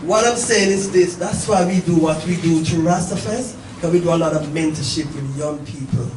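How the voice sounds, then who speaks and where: A woman's voice: low voice, a little fast. A middle-aged woman, telling a story informally and emphatically to a gathering as its host, repeating phrases and checking in with listeners. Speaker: event organizer and host. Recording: echoey, distant mic, noisy live gathering.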